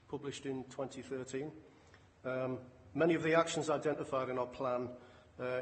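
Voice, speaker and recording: A man giving a formal, measured, matter-of-fact briefing as a council officer presenting a report. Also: mid-range voice. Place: large indoor council meeting room. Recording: echoey hall, distant, clear background noise.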